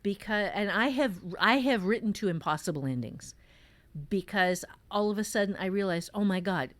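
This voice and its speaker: A woman's voice: medium pitch, lively intonation, moderate pace, dry recording. Woman giving emphatic, informal writing advice. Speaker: podcast host and fiction-writing teacher.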